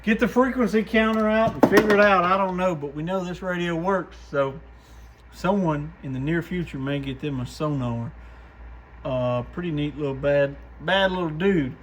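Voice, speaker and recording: Slightly high-voiced man tinkering, noisy recording. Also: normal speed. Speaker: radio shop host testing gear. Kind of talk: casual hands-on demonstration, thinking aloud. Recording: clear background noise with clicks.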